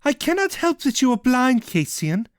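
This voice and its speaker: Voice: sits high, very animated, moderate pace, dry recording, studio quality, voice pushed up. A narrator voicing a fevered, furious young woman mage. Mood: grave. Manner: sharp, outraged, strained.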